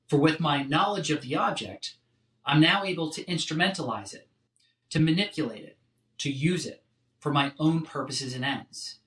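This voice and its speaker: A man delivering a scripted academic lecture in a measured, articulate, emphatic way, like a professor. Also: on the high side, a bit bright. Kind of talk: scripted philosophy lecture narration. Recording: roomy, echoing sound.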